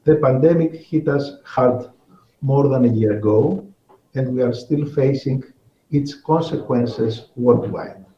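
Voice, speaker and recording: A man from Greece, delivering a measured, formal prepared speech as a conference speaker over a remote link. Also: mid-range voice, roomy sound, highs cut off. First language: Greek. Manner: measured, deliberate, with occasional repeated words.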